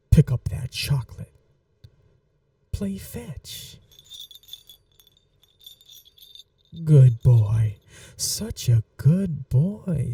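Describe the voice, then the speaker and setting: A woman acts out a domineering vampire mistress in an intimate ASMR roleplay. Her voice is very dark and soft, often a breathy whisper, recorded close and dry. She draws her words out slowly, coaxing and condescending, possessive and seductive. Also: sits low, no room sound, far from the mic, full character voice. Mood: mocking, teasing.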